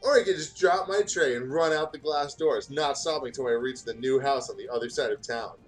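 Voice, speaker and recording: A man with a mid-pitched voice reads a story aloud like an informal online host. His delivery is jokey and conversational, and music plays faintly behind him. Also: a little fast. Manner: informal, sweary, breaks off to riff. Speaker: gaming channel host reading fan fiction aloud. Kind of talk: jokey read-aloud with casual chat.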